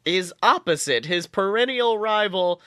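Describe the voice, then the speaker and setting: Male podcast host, bright and clean-toned, dry close sound, animated comic banter. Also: high-pitched, measured pace, smooth, no room sound. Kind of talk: casual comedic podcast banter.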